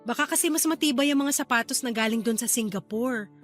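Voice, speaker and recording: An actress playing a caring, playful aunt raising her niece, in an affectionate, expressive Tagalog radio drama style. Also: fairly high pitch, a little fast, faint music behind, close to an everyday voice. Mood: teasing.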